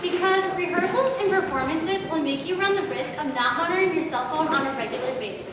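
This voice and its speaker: A teenage girl in a school readers theater, speaking dramatically with rapid asides. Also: sits high. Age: teens. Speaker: student performer. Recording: echoey room from far off with noise.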